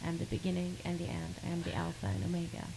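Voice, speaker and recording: A woman with an Arabic accent who speaks haltingly, with many fillers, and laughs between sentences as she tells a personal story. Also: fairly low pitch, sing-song, gravelly, noisy background. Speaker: guest sharing a testimony.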